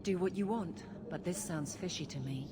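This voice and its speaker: A woman's voice: on the low side, moderate pace, very noisy, natural voice. An actress playing a proud, stern, sceptical warrior woman in a video game, firm and curt. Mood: scornful. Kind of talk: video game cutscene acting.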